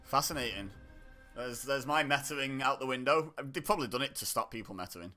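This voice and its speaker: A man's voice: mid-range voice, lively intonation, brisk, bright, forceful tone, faint music behind. A man from the UK chatting and joking as he reacts to a TV show, laughing often and cutting himself off mid-sentence. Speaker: online reaction host. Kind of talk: casual reaction commentary.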